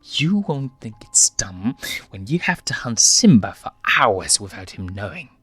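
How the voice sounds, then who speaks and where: A man's voice: on the low side, very animated, measured pace, fairly bright tone, husky, soft background music, voice pushed up, full character voice. A man voicing an irritable, lazy young lion with a bitter, sneering older-brother manner in a story reading. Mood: irritated. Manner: sneering, snappish.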